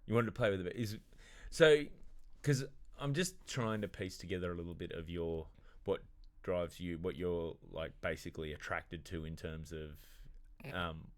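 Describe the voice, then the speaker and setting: A deep-voiced man in his forties hosts a relaxed studio podcast. He prods his guest with quick, curious questions and a dry teasing edge, speaking close to a studio mic. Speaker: podcast host. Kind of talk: casual interview chat. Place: dark studio set, seated in armchair. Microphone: studio condenser mic on stand.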